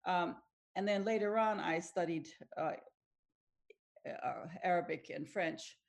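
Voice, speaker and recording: A woman's voice: fairly low pitch, slow pace, vocal fry. A middle-aged woman whose first language is Finnish, answering interview questions thoughtfully with frequent restarts and small laughs. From Tanzania. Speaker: academic researcher guest.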